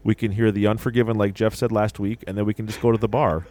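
A man's voice: fairly low pitch, little pitch movement, fast talker, a little mellow, dry recording. A man in his forties hosting a casual podcast chat, joking and blunt, swearing now and then. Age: 40s. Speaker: podcast host.

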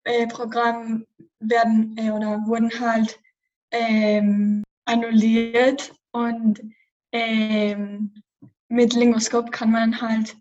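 A woman's voice: on the high side, completely flat delivery, slow, a little mellow, far from the mic, muffled recording. Hesitant teen girl with Spanish accent. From Spain. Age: teens. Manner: hesitant, many fillers. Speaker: student podcaster. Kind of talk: casual chat.

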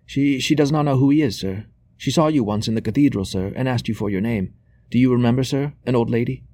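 An agitated, furtive servant played by a male narrator in an audiobook story, lifted in pitch. He is deferential and pleading, stumbling over repeated words in his haste, with a close, dry sound. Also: quick pace, warm tone, no echo, natural voice. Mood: nervous, urgent. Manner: hasty, stammering, deferential.

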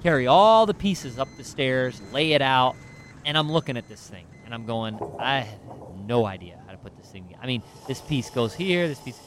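A man's voice: mid-range voice, expressive, a little fast, a little hiss or hum. A man in finance telling a self-deprecating story in casual chat, with lots of restarts and asides. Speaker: finance professional.

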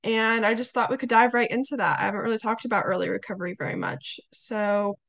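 A young woman hosting a podcast interview, her voice slightly hoarse, chatting casually with many fillers. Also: a little high, fairly even intonation, quick pace. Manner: chatty, warm hesitations with fillers. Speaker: podcast host.

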